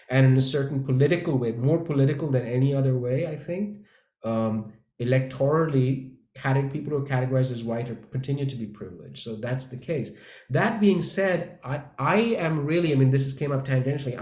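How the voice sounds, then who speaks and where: A man speaking as a panelist in a casual discussion, halting and correcting himself as he searches for words. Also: medium pitch, a little mellow. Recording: echoey room sound.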